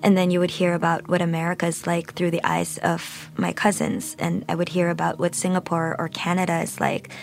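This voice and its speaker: A woman speaks in a fairly low voice with noticeable vocal fry. She is an interview guest, talking reflectively and pausing as she finds her words. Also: monotone. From Philippines (Manila).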